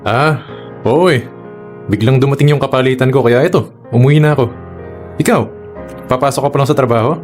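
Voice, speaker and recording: An actor playing a working husband with a hidden menace in a radio drama. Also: male voice, mid-range voice, brisk, long pauses, faint music behind, close to an everyday voice. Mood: casual, tired. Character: husband home from a night shift, secretly menacing.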